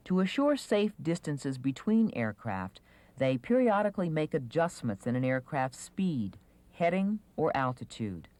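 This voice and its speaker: Narrator, scripted training-film delivery, medium pitch, varied intonation, careful enunciation. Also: big pitch swings, unhurried, darkish tone, no echo. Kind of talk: scripted instructional video narration.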